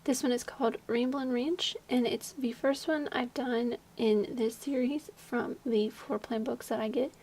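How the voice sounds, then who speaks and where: Young woman, slightly hoarse, hushed home voice-over, chatty and rambling, a bit high, gravelly and breathy. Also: steady tone, measured pace, few pauses. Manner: rambling, chatty, many fillers; hushed, keeping quiet at night. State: slightly hoarse, losing her voice. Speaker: video creator. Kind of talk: casual voice-over commentary on a game build. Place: home at night, at a desk.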